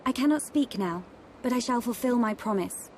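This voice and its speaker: A young noblewoman from a fantasy game, played by an actress. Her voice sits fairly high, poised and reserved, with formal dutiful phrasing and faint ambient noise behind her. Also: expressive, normal speed, darkish tone, lightly acted. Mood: formal, resolute. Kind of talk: video game cutscene acting.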